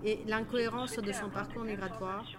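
A woman reading out a formal legal text in an even, measured way. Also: medium pitch, over a noisy crowd. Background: music.

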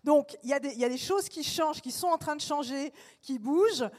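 A woman's voice: high voice, fairly quick, darkish tone. A woman artist speaking on a panel discussion, hesitant with many fillers but emphatic in her points.

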